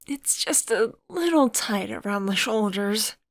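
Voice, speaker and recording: A woman's voice: mid-range voice, lively intonation, unhurried, no room sound, clean studio sound, pitched above the performer's own voice. A woman voicing a shy, self-conscious young adult who is a boy inside, speaking hesitantly and timidly. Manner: hesitant and timid, soft sheepish replies.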